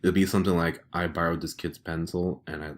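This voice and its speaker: Laid-back man with a very low voice. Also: level tone, fast talker. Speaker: podcast co-host. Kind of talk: casual chat.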